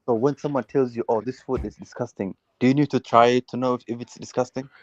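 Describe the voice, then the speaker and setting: A man's voice: mid-range voice, fairly even intonation, fast talker, no room sound, lo-fi sound. A man from Uganda hosting a heated casual football debate, insistently repeating words and restarting his sentences.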